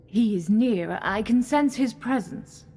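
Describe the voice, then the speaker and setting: Female actor as sinister false goddess, high husky voice, seductive, mocking, theatrical. Audio drama. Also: expressive, slow pace, dark tone, heavily acted. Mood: ominous. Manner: coaxing, theatrical, purring endearments. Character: sinister alien Time Lady posing as goddess, seductive, mocking.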